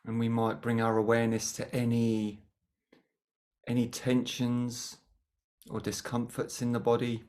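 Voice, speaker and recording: A meditation teacher guides a practice at a middling pitch. The delivery is gentle and unhurried, and short phrases are separated by long pauses. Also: monotone.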